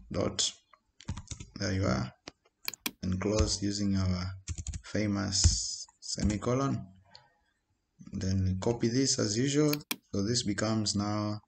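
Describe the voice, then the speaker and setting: Low, creaky-voiced man teaching coding. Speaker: programming tutor. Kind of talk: informal screen-recorded coding tutorial. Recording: noisy home recording with keyboard clicks. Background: keyboard typing and mouse clicks.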